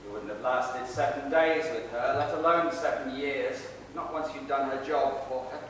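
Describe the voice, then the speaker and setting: An actor playing an embittered middle-aged theatrical husband, sardonic and menacing, in a deliberate stage style. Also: male voice, steady tone, a bit bright, close to an everyday voice. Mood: bitter, controlled. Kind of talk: theatrical stage drama acting. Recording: echoey hall, distant mic, faint rumbling noise.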